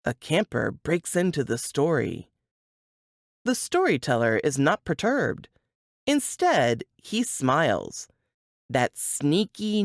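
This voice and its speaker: Woman, dramatic story reading, slow pace, lively intonation, suspenseful, close dry recording. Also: sits low, no echo. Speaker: author reading from their novel.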